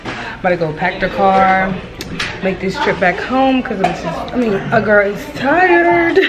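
A woman in her twenties from Virginia vlogging to a handheld camera in a cabin, chatty and relaxed, her voice going hoarse. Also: mid-range voice, a little slow. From Virginia, USA. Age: 20s. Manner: chatty and relaxed, addresses viewers as y'all. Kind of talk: casual vlog to camera. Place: wooden cabin, indoors. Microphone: handheld camera at arm's length.

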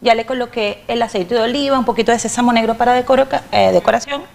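A middle-aged woman speaks as a catering guest on a TV cooking segment. Her voice is fairly high and gravelly with vocal fry. She explains in halting bits while her hands work. She is recorded on a lapel mic in a studio kitchen.

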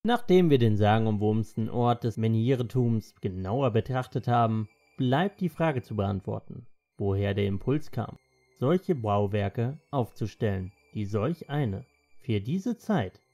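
A man's voice: mid-range voice, animated, measured pace, mellow. A man narrating a scripted documentary as its narrator.

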